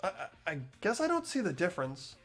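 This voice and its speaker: Performer voicing an unsure, good-hearted teen boy; stammers, pitch swings widely. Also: wildly swooping pitch, brisk, faint music behind. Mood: earnest. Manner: hesitant, stammering, earnest. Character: earnest, hesitant teenage boy student. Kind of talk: amateur voiced game playthrough.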